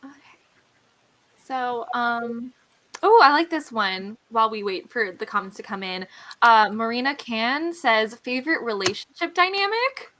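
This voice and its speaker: A woman hosting a casual live book discussion, giggly and animated as she reacts. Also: on the high side, a little slow. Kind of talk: casual group chat.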